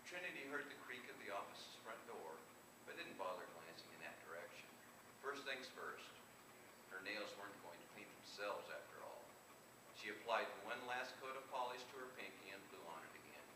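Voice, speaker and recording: A man with a fairly low voice gives a live author reading, picked up from across an echoey room. He reads light, humorous fiction aloud and now and then trips on a word and repeats it. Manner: stumbles over and repeats words while reading. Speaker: author reading own short story. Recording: echoey distant live room recording, noisy.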